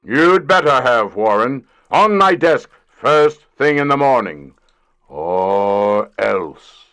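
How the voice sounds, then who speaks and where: A man's voice: mid-range voice, very animated, slow, a bit bright, full character voice. A man in a comic audio drama playing an overbearing middle-aged company boss, pompous and emphatic. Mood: indignant, demanding.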